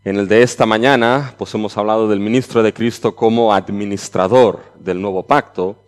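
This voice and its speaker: A man's voice: fairly low pitch, fairly even intonation, moderate pace. A middle-aged man from Barcelona with a mixed Catalan and Chilean Spanish accent, preaching a conference sermon in a conversational way and often ending phrases with a checking "¿ya?". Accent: mixed Catalan-Spanish with Chilean touches.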